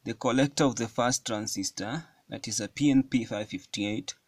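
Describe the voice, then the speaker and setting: A man giving a hands-on electronics tutorial at a table, explaining each step slowly with frequent fillers. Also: medium pitch, dry recording. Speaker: electronics hobbyist demonstrating a circuit. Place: indoors at a wooden table.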